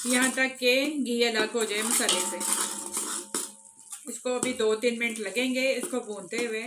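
A woman giving calm, step-by-step cooking instructions in a home kitchen, talking directly to her viewers while she cooks. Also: a little high, noisy background. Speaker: cooking channel host. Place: home kitchen, off camera over a pot.

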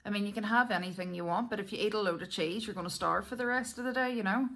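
A woman's voice: mid-range voice, fast, fairly bright tone. A Northern Irish woman chatting casually and candidly to her viewers, with a self-deprecating, conversational manner. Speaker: vlogger.

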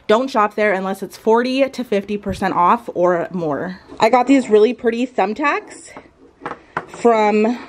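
A woman in her thirties chatting casually and enthusiastically, like a lifestyle vlogger showing things off at home. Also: medium pitch. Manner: enthusiastic, gushing. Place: furnished bedroom at home, indoors.

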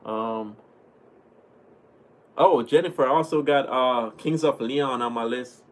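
Young Jamaican-accented man, laid-back streamer, mid-pitched voice, webcam sound in a small home room. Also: slow pace. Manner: relaxed, chatty, thanks viewers often. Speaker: livestream host reading chat. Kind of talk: casual livestream chat. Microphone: webcam at desk.

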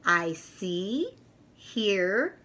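A middle-aged woman reads and teaches to young children in an expressive, deliberate, sing-song storytelling manner. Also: mid-range voice. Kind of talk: picture book read-aloud for young children. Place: furnished room at home, indoors.

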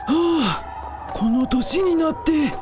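A worried old village man, played by an actor in a radio drama. The voice is very high, weary and folksy, with music playing behind it. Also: slow pace. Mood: resigned. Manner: old-man dialect speech, sighing. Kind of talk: amateur radio drama acting.

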